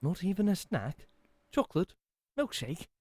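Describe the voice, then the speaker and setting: Theatrical butler voiced by a man, bouncy and formal with a sly edge, wide pitch swings, dry close mic. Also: big pitch swings, a little slow, a little mellow, slightly rough, dry recording, heavily acted. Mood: whiny. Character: chipper male butler with a hidden menace. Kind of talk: ASMR roleplay audio drama.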